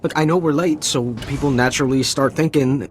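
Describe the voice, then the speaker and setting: A man's voice: mid-range voice, fairly even intonation, brisk, slight background noise, natural voice. An actor playing a worn-out soldier who has deserted, stammering and nervous as he talks. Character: weary young male deserter soldier, nervous. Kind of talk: video game cutscene.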